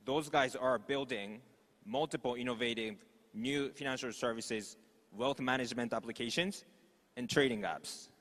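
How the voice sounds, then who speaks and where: A man from Japan with a Japanese accent, a native Japanese speaker, giving an informal, halting conference presentation. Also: mid-range voice, a bit bright. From Osaka, Japan. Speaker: startup executive, conference speaker. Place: large conference hall stage. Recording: echoey hall with long reverb.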